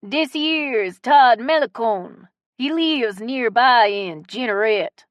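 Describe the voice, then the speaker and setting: A woman's voice: fairly high pitch, takes it slowly, no echo, studio quality, pitched above the performer's own voice. A woman narrator voicing a talkative, friendly Cajun man who likes to tease, in an audiobook story. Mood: jovial.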